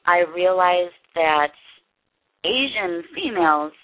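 A woman guest in an interview, speaking earnestly and haltingly with many restarts. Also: fairly low pitch, lively intonation. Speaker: interview guest, production company head. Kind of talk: candid personal interview. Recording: tinny phone line.

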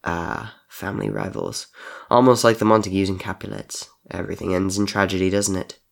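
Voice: low voice, animated, fairly quick, gravelly. A performer voicing a cruel, vengeful monster king in a story, with a drawling, hissing, sneering manner. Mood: menacing, mocking.

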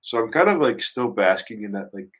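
A man's voice: lowish voice, sing-song, a little fast, a bit bright, husky. A man in his early twenties chatting casually and reflectively as a musician guest, rambling thoughtfully with youthful slang. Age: early 20s. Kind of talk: casual reflective interview chat.

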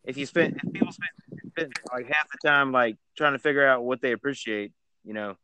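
A man's voice: mid-range voice, brisk, pushed and bright, slight background noise, poor audio. A man hosting a casual podcast chat, stammering and repeating words, with laid-back slang.

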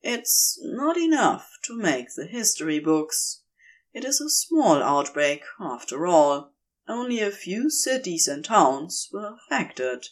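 A woman reading a story aloud as scripted narration, in a measured, expressive storytelling manner. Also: lowish voice.

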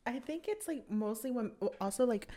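A woman's voice: fairly high pitch, expressive, moderate pace, a loud crowd. Young woman, mid-20s, podcast co-host, loose chatty delivery, easily laughs. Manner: chatty, many fillers and restarts, laughs often. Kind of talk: casual chat among friends.

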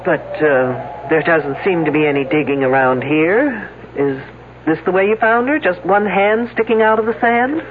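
A woman's voice: lowish voice, normal speed, phone-quality sound, natural voice. A woman playing a wry, unflappable, wisecracking lady detective in a 1970s radio drama. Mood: cool, businesslike. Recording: thin band-limited old radio, noisy.

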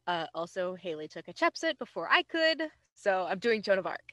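A woman's voice: medium pitch, very animated, moderate pace, bright, forceful tone, no echo. Casual woman podcaster with dry, close sound. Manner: self-deprecating, informal, wry asides. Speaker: podcast host. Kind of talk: casual history storytelling.

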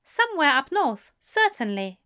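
Brief: prim, fussy, easily offended man, as a woman reader puts him on, pitched high. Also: expressive, measured pace, fairly bright tone, full character voice.

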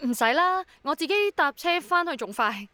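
A woman's voice: high voice, lively intonation, normal speed, sharp tone, no echo. An actress with a Hong Kong Cantonese accent playing a practical, sceptical and easily puzzled young city woman in a radio drama. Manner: hesitant, questioning, matter-of-fact.

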